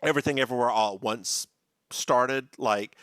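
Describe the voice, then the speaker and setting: Male podcast co-host, bright tone, campy recap chatter, dry close sound. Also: mid-range voice, normal speed, clear, resonant tone, no room sound. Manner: animated, campy, mimics characters. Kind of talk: casual chat recapping a TV episode.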